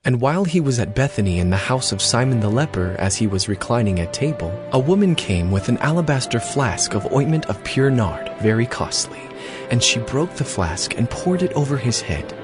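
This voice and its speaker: A male narrator reading scripture aloud in a steady, expressive way. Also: medium pitch, moderate pace. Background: music score.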